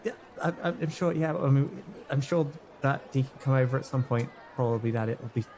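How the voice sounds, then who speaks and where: A man's voice: medium pitch, brisk, a little mellow, a faint crowd, natural voice. A man playing an awkward, reluctant schoolboy with a hesitant, stammering way of talking in a tabletop game. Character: awkward, reluctant schoolboy called Alex, placating his dad.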